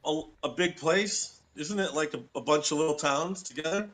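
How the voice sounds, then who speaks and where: A man's voice: a little high, normal speed, a bit bright. A man in casual podcast chat, hesitant and searching for his words. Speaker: podcast co-host.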